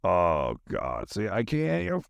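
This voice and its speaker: Tired, rambling low-voiced man. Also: huge pitch swings, a little slow, creaky, no room sound. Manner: unfocused, meandering, laid-back slangy talk with trailing thoughts. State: tired, zoned out. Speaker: solo podcast host. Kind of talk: casual rambling monologue.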